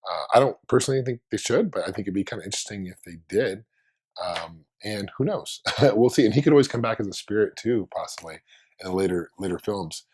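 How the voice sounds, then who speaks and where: A man with a hoarse voice from being under the weather, hosting his own fan video and thinking aloud in a rambling, casual way with many restarts. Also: on the low side, expressive, fairly quick, darkish tone.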